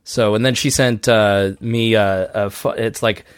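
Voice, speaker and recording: A man's voice: fairly low pitch, steady tone, unhurried, clear, resonant tone, no echo, studio quality. A man co-hosting a podcast, talking in a hesitant way with many fillers while thanking listeners. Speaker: podcast co-host. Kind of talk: casual chat.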